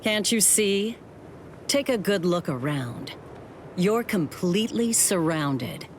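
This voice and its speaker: Commanding female fighter, low and measured, swinging intonation, firm threat, anime game cutscene. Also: animated, a little hiss or hum. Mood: stern warning. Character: commanding, confident adult woman warrior. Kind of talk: English anime game dub.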